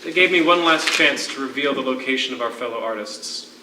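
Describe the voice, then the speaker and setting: An actor playing a grieving, defeated imprisoned poet in a stage drama, speaking in deliberate, drawn-out phrases with dramatic pauses. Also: male voice, mid-range voice, close to an everyday voice. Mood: haunted grief. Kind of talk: theatrical stage acting, dramatic monologue. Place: theatre stage, miked from a distance. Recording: echoey, noisy stage recording from far off.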